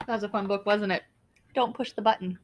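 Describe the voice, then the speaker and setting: A woman reading a picture book to children, talking casually and directly to her listeners in an expressive way. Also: mid-range voice, quick pace, slight background noise. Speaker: storybook reader. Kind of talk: children's read-aloud, casual address to young listeners.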